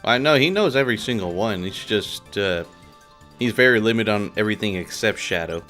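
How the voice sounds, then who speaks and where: A man's voice: on the low side, expressive, fairly quick, a bit bright, soft background music. A man of twenty-seven co-hosting a let's play with casual banter and sweary, joking asides. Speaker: gameplay video co-host.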